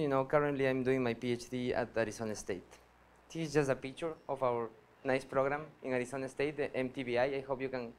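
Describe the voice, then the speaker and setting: A man in his thirties from Ecuador, a PhD student giving a workshop presentation with many pauses and restarts. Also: medium pitch. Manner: hesitant, many fillers and restarts, earnest. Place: lecture hall.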